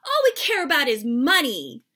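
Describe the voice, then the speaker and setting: A woman voicing a blunt older sister, a young girl in storybook dialogue, loud and emphatic. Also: high voice, wildly swooping pitch, normal speed, pitched above the performer's own voice, exaggerated voice. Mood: bold.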